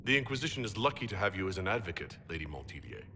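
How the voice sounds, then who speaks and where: An earnest, courteous noble hero in a game cutscene. His slightly low voice is crisp and forceful, with quick, direct delivery. Reverb trails behind it. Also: very animated, a little hiss or hum, exaggerated voice. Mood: polite, curious. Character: earnest, polite heroic nobleman. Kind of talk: dramatic game cutscene acting.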